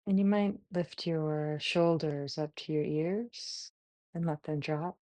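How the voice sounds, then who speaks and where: A woman guiding a meditation, giving gentle instructions in short phrases with long pauses between them. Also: low voice, no echo. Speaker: meditation guide. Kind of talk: guided body scan meditation.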